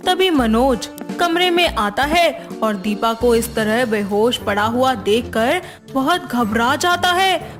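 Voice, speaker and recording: Slow, measured woman storyteller. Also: on the high side. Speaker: narrator. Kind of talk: scripted storytelling narration.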